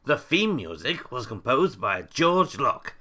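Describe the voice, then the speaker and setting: A man plays an eccentric scientist, pompous and breezily callous. He muses to himself in an audio drama monologue. The tone is extremely bright and sharp, with big swings in pitch. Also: sing-song, takes it slowly, full character voice. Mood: self-important. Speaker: in-story podcast presenter.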